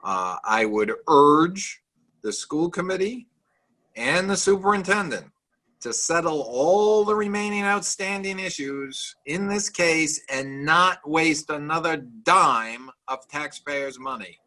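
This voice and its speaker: A man's voice: a little high, lively intonation, slow pace, sharp tone, rough recording. A man co-hosting a union talk show, making a firm, insistent statement.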